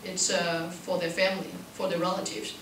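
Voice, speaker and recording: A woman speaks reflectively at a panel discussion in halting, non-native phrasing. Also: fairly low pitch, level tone, a bit bright. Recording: echoey room, distant mic, faint noise.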